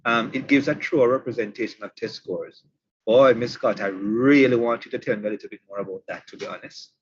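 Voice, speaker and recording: A man's voice: mid-range voice, a little fast, muffled recording, a little hiss or hum. A man hosting an online session for teachers. He is chatty and teasing, and he repeats his points. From Jamaica. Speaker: webinar host. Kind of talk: online professional development session.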